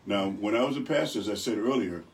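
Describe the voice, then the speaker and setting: An older man from Rochester, New York with a deep voice, speaking as an evangelist guest in a radio studio, telling stories with good humor. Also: fast talker, slight background noise. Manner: good-humored, rambling storyteller. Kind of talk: radio interview. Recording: distant from the mic.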